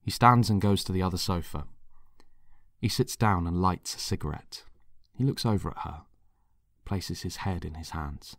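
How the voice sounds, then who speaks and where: A man's voice: deep voice, moderate pace, gravelly, no room sound, studio quality. A man reading scripted dramatic narration in the present tense. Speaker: writer and narrator.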